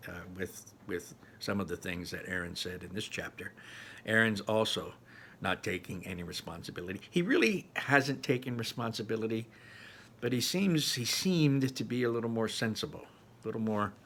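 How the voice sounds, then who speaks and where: A man gives informal commentary as a read-aloud host. His voice sits fairly low with a sharp, bright edge. He speaks haltingly and repeats phrases to drive them home. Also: lively intonation, slight background noise. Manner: halting, emphatic, repeats himself for weight. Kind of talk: informal commentary on a book chapter.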